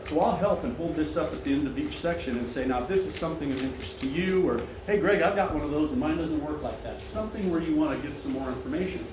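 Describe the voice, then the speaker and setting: A man's voice: fairly high pitch, fast talker, few pauses. Male seminar presenter, big carrying voice, chatty and welcoming; recorded from a distance in a reverberant dining room with background noise. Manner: friendly, conversational, invites questions. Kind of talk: presentation to a seated audience. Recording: echoey room, mic far off, noisy.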